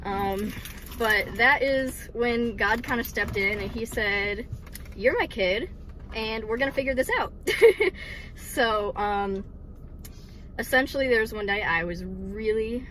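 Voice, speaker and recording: A woman's voice: medium pitch, a bit bright, slight background noise, low-quality recording. A woman in her twenties from Michigan, casually sharing her personal faith story with frequent laughs, ums and likes. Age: 20s. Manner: giggly, many ums and likes. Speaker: vlogger sharing testimony.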